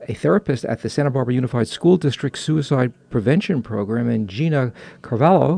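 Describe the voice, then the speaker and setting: Gravelly-voiced male talk show host. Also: medium pitch, mellow. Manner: halting, many fillers and restarts. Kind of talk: casual interview.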